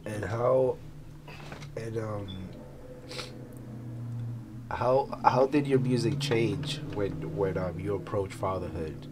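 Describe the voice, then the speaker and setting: A man hosting an interview, asking questions haltingly with frequent restarts and "you know". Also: fairly low pitch, noisy background. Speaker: interview host.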